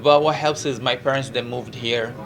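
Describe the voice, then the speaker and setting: Gravelly Moroccan-accented male fighter. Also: medium pitch, fairly even intonation, normal speed, a bit bright. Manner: earnest, repetitive, non-native English with frequent 'you know'. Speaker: professional MMA fighter. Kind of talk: press interview, casual chat.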